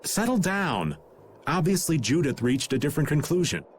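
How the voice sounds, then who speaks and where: A man's voice: a little high, sing-song, normal speed, heavy background noise. A man voicing a laid-back, wry middle-aged rogue in an anime-style video game.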